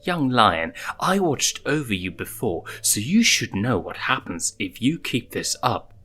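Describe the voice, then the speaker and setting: A man's voice: medium pitch, sing-song, unhurried, sharp tone, breathy edge, soft background music, pitched above the performer's own voice, full character voice. A man voicing a smug, teasing young lioness who bosses cubs around, in a storybook reading. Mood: threatening, bossy. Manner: teasing, commanding. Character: smug, teasing young adult lioness cub-sitter, bossy.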